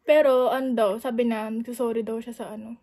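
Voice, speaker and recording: A woman vlogger chatting casually on prank calls to friends, with a giggly, animated manner. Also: a little high, a little fast. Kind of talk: casual chat on the phone.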